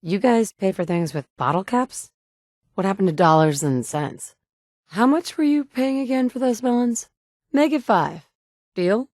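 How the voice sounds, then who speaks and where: A woman's voice: mid-range voice, expressive, a little slow, a little mellow, no echo, lightly acted. A woman playing a determined young mother surviving in a wasteland, with earnest, dry, conversational delivery in video game dialogue. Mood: wry. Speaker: video game player character.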